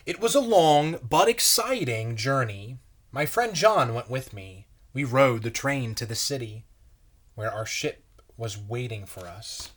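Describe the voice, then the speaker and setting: Slow-paced man reading to children. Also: mid-range voice, big pitch swings, a bit bright. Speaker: pastor reading a children's book aloud. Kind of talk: children's story read-aloud.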